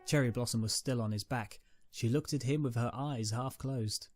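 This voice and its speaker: A man's voice: mid-range voice, moderate pace. A man reading a dramatic story as a scripted narrator. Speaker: narrator, reader. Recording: music behind the voice. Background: background music.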